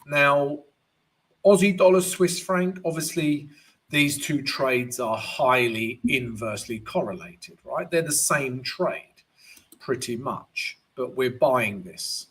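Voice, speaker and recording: A man with a croaky voice, hosting a live trading analysis stream in a chatty way, often calling viewers guys and tagging lines with "right?". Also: mid-range voice, slow pace, a bit bright, low-quality recording.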